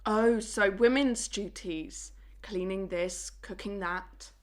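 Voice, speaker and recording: An actress playing a headstrong, defiant young farm daughter in an audio drama, with a sarcastic, insistent way of talking. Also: female voice, medium pitch, slow, lightly acted. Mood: indignant.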